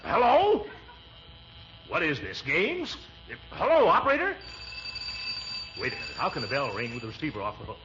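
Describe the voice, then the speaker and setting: An actor playing a pompous, flustered middle-aged uncle who blusters and mutters in a 1940s radio sitcom. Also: male voice, a little high, huge pitch swings, normal speed, long pauses. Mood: flustered, grumbling. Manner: blustering, muttering, sputtering with chuckles. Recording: muffled, very noisy 1940s radio recording.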